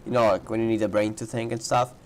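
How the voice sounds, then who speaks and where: A man's voice: lowish voice, level tone, fast. A man giving casual reaction commentary on a game playthrough, thinking aloud in rambling asides. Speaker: gamer reacting to a story playthrough.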